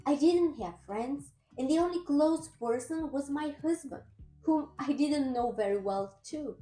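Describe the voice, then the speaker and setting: Woman, Bulgarian accent, thirties, high mellow voice, earnest vlog storytelling, roomy echo, faint music behind. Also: expressive, unhurried. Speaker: personal trainer and nutritionist.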